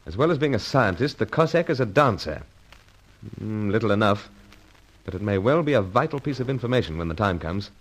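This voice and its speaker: An actor playing a gallant, composed secret agent with gentlemanly phrasing in a radio serial. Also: male voice, fairly low pitch, lively intonation, fairly quick, slight background noise, lightly acted, poor audio. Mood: concerned. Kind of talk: radio serial acting and narration.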